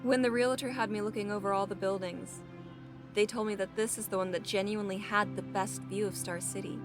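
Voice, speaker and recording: A woman's voice: medium pitch, fairly even intonation, a little fast, music in the background, natural voice. An actress playing an idealistic young woman in an audio drama, earnest and tender with a teasing streak. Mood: hopeful, earnest. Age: young adult. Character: idealistic, playful young woman, hopeful and affectionate.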